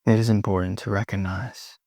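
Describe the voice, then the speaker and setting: A man leading a guided meditation in a soothing manner, pausing often between short phrases. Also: fairly low pitch, little pitch movement, dark tone, no room sound, studio quality. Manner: slow, pausing, soothing delivery.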